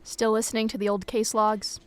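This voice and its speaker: A woman's voice: on the high side, little pitch movement, brisk, close to an everyday voice. A woman playing a supportive police colleague in an audio drama, briefing in an encouraging, matter-of-fact way. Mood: reassuring.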